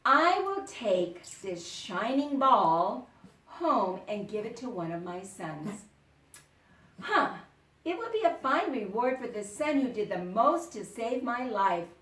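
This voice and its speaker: A woman voicing a grateful, dignified father in a children's folktale, in a measured, solemn way. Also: on the high side, very animated, a bit bright, full character voice. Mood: pondering. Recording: roomy echo, distant microphone.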